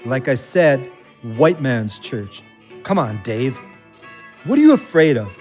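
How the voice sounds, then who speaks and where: A man's voice: medium pitch, sing-song, slow, a little mellow, soft background music. A man playing an earnest, plain-spoken ex-convict and loyal friend in a naturalistic audio drama. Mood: challenging.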